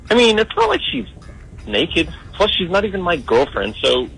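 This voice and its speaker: A man's voice: high-pitched, wildly swooping pitch, moderate pace, creaky, a bit bright, soft background music, low-quality recording. A man chatting casually, a bit hesitant as he explains himself. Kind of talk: casual chat.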